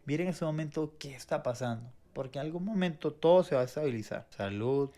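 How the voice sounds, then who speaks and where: A man's voice: on the high side, fast talker, warm tone. Earnest Venezuelan-born man sharing testimony. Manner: earnest, reflective, with many restarts and repetitions. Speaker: co-host.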